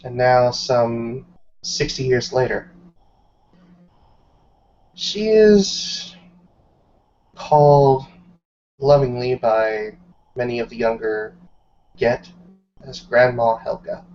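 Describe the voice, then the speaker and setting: A man narrating a tabletop roleplay session as game master, dramatic and deliberate, with storyteller pauses. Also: medium pitch, darkish tone. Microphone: online group voice call. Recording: muffled online call with background noise.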